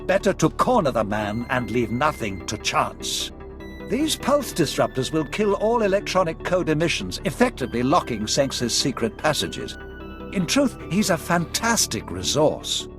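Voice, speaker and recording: A theatrical Sith lord from a video game, higher-voiced and animated, with swooping pitch over music. He is courtly, eloquent and scheming, every line formal. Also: measured pace. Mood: conspiratorial. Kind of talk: video game cutscene acting.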